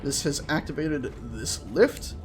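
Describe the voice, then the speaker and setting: A man's voice: on the high side, a little slow. A man hosting a gaming video with casual, chatty, playful and enthusiastic let's-play commentary. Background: game music.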